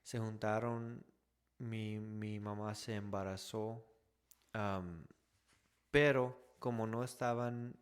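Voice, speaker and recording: Man in his thirties, low voice, very slow, halting restarts. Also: fairly even intonation, smooth. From Texas, USA. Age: 30s. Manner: halting, restarts often, slips into English words. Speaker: podcast co-host telling his testimony. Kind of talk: casual faith testimony.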